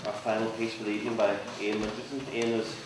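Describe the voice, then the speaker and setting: A man with a fairly low voice hosts a theatre evening in a semi-scripted way. He is distant from the microphone in a very reverberant space with noticeable noise. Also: flat delivery, fast talker. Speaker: host of a live theatre evening. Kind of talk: semi-scripted event hosting. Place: theatre, live event. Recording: very echoey, distant, noisy hall recording.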